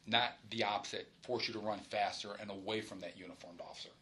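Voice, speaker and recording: A middle-aged police officer answering questions in a formal interview, firm and insistent. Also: male voice, on the low side, steady tone, fast talker, bright, forceful tone.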